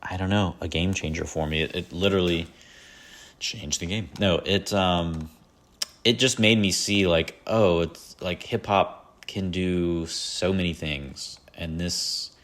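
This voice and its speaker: A man's voice: sits low, steady tone, unhurried, a bit bright. A man hosting a solo podcast, chatting casually and rambling about his favorite albums with lots of fillers and self-deprecating asides. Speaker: podcast host.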